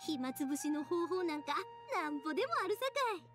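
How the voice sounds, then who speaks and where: Chatty friendly anime game girl, kansai accent, high n animated, bit of music behind. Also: fast talker. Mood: cheerful. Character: cheerful, considerate, chatty young woman from a fantasy land.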